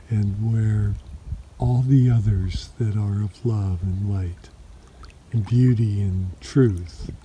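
A man sharing a spiritual reflection outdoors by a shoreline, talking softly and haltingly with many pauses and fillers. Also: fairly low pitch, slight background noise. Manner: slow, soft-spoken, halting with many fillers. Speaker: meditation teacher. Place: lakeshore outdoors.

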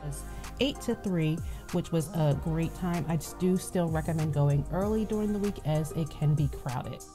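A woman's voice: low voice, normal speed, music in the background. A young adult woman giving casual, informative voice-over narration for a review vlog, offering advice as she goes. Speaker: vlog host.

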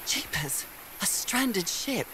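Reflective adventurous wife in a game, noisy ambience. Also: female voice, mid-range voice, big pitch swings, slow. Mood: intrigued. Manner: reflective, period slang. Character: curious, devoted wife searching for her missing husband. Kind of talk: scripted game narration, thinking aloud.

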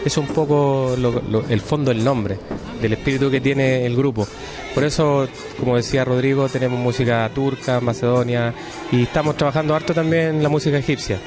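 Man, musician interviewee, mid-pitched voice, informal, music in background. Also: fairly even intonation, fairly quick.

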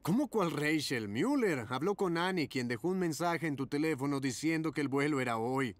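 Exasperated male cartoon photographer, played by an actor, slightly high voice, faint music behind. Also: expressive, normal speed, lightly acted. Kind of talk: animated children's cartoon dub.

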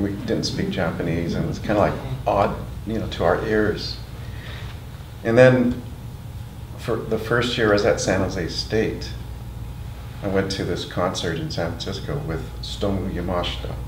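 Deep-voiced candid older man. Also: fairly even intonation, normal speed. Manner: candid, enthusiastic storytelling. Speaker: interviewee, musician. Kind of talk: group interview, personal recollection. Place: museum meeting room, seated in a group. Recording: roomy room, faint noise.

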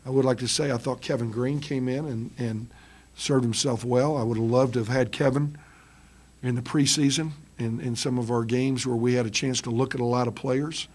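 A middle-aged man, a basketball head coach, answering reporters after a loss, halting with many ums and restarts, speaking into a table microphone. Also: medium pitch, fairly even intonation, darkish tone. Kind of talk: post-game press conference. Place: press room with curtain backdrop. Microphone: table mic on stand.

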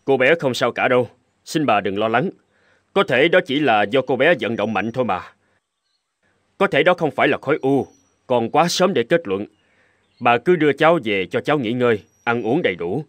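A man voicing a calm, reassuring doctor in a cartoon, speaking in a measured, formal way. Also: medium pitch, no echo, lightly acted. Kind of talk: animated cartoon dubbing.

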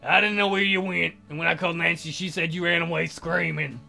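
A man voicing a caring, practical young woman from a visual novel, gently scolding and teasing. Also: fairly high pitch, fairly even intonation, fairly quick, gravelly, soft background music, exaggerated voice.

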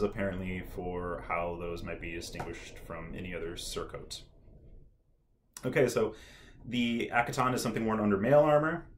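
A young man hosting a history video, talking casually to camera in a small room, with plenty of ums and an enthusiastic, nerdy tone. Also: on the low side. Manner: relaxed, many ums and uhs, nerdy enthusiasm. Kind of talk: casual educational talk to camera. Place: small room indoors, dark backdrop.

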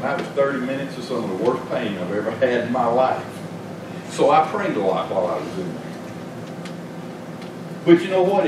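A middle-aged man preaching a sermon in a small church, talking conversationally with rhetorical questions and swinging between raised emphasis and quiet asides. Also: medium pitch. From Georgia, US. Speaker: pastor. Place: small church sanctuary, indoors. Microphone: stand mic at pulpit, filmed by far camera. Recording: very echoey church room, distant mic, noisy.

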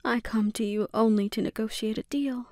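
A woman playing a menacing, seductive sorceress and obsessive mother in a breathy, often whispered ASMR roleplay, speaking deliberately and with quiet threat. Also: a little high, steady tone, no echo, clean studio sound, lightly acted. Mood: coolly threatening.

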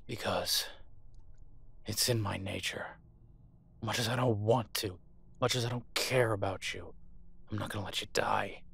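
A man's voice: on the low side, fairly even intonation, unhurried, vocal fry, pauses often, a bit bright, slightly rough, no room sound, full character voice. A man playing a captive werewolf alpha soldier in an audio roleplay, defiant, protective and sardonic as he urgently argues and swears. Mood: firm persuasion. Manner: profane, sardonic, urgent persuading.

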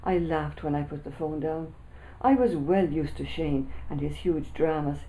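An older woman reading her own children's book aloud with lively first-person storytelling, seated in a small room. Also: deep voice, a little slow, rough recording. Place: small indoor room with printed backdrop.

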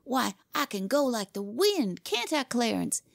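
Woman playing a pompous, high-pitched bragging little boy. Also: sing-song, a little slow, gravelly, no echo, clean studio sound, exaggerated voice. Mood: boastful. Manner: bragging, insistent, repeats himself. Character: boastful pompous small boy, loud and scornful. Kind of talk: storybook character reading.

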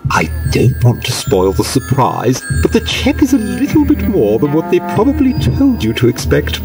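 Man playing effusive boss, sugary endearments, swooping high-pitched delivery, audio drama. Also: huge pitch swings, normal speed, over music. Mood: gushing. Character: gushing, sugary employer welcoming a new writer.

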